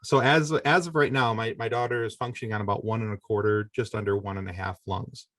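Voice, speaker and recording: Male, middle-aged, lowish voice. Podcast guest recounting personal experience in halting, conversational fashion. Dry, close recording. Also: steady tone, a bit bright, no echo. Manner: halting, conversational, self-correcting delivery. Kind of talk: personal storytelling in a podcast interview.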